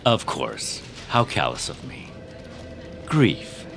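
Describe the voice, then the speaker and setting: An actor playing a self-important, manipulative tech CEO, smoothly condescending with theatrical pauses, in an audio drama. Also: male voice, lowish voice, huge pitch swings, music in the background, full character voice. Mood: quietly menacing.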